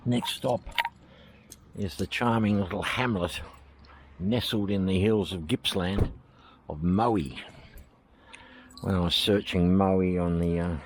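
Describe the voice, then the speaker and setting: Deep-voiced Australian man touring. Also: steady tone, slow pace, long pauses, background noise. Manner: chatty, thinking aloud with fillers. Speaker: travel vlogger. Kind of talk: casual walking tour commentary. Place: outdoors at a historic village.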